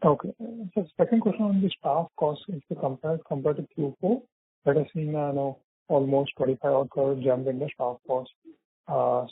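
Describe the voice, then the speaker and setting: A man with an Indian accent and a husky voice, a financial analyst asking questions on an earnings call. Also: a little high, no room sound. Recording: dry, narrow phone line.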